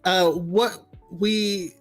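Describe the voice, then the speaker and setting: A man with a slightly high voice chats casually about games as a podcast co-host. He is enthusiastic and tends to ramble excitedly. Faint music plays behind him. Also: drawn-out words. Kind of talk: casual enthusiastic gaming chat.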